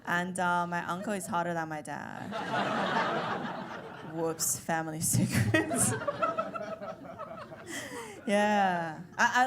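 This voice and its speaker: A woman in her thirties from China with a Chinese accent, whose first language is Chinese, doing a stand-up comedy set with deadpan, self-mocking delivery. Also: lowish voice, very noisy. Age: 30s. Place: comedy club stage.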